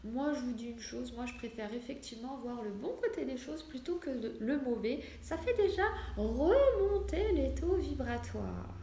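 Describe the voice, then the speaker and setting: A woman in her forties with a fairly high voice talks casually to her online followers. She is earnest and emphatic, often putting questions straight to the listener. The recording is echoey, with some noise behind her. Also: expressive, moderate pace, hardly any pauses, warm tone. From France, Portuguese family background. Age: 40s. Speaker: medium addressing online followers. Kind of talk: casual motivational vlog. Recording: roomy echo, clear background noise.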